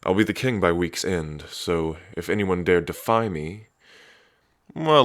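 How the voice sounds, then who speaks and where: A man's voice: no room sound, clean studio sound. A man playing a cocky, teasing dragon prince and protective big brother in an ASMR audio drama. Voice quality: deep, low. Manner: smug, flirtatious, teasing, sweary.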